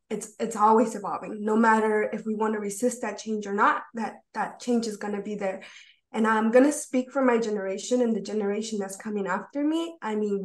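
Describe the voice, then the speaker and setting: Young woman, US-raised panelist on a video call; earnest and heartfelt, with roomy echoing audio. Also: medium pitch. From United States. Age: young adult.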